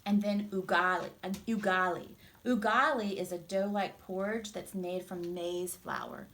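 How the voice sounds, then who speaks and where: A woman teacher reading a storybook aloud to children, expressive and instructive, pausing to explain things simply. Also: fairly low pitch, gravelly.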